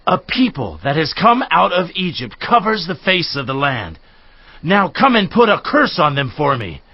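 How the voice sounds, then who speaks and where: Balaam, animated, high-voiced ancient seer. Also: male voice, normal speed, no echo. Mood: earnest, firm. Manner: emphatic, declamatory. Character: Balaam, earnest male seer, quick to exasperation. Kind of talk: dramatized audio Bible acting. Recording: dry, slightly dull studio audio.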